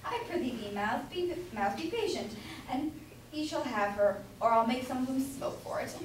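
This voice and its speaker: A woman's voice: high voice, expressive, normal speed. Echoey woman playing a blustering grocer husband. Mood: placating. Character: gruff, blustering grocer husband, indulgent of his wife. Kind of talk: amateur staged reading of a period comedy. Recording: echoey room from far off, faint noise.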